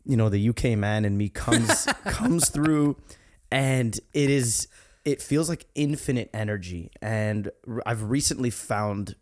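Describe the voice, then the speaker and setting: A young man in his twenties hosting a casual podcast chat, speaking in a calm, thoughtful, reflective way. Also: mid-range voice, a little slow. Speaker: podcast host.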